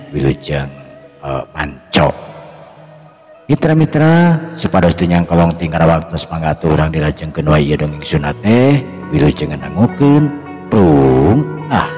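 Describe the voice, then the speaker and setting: A man's voice: a bass voice, a little mellow. A man, hosting and telling a traditional folk story to his listeners. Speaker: storyteller and channel host. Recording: long echoing reverb, music behind. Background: music.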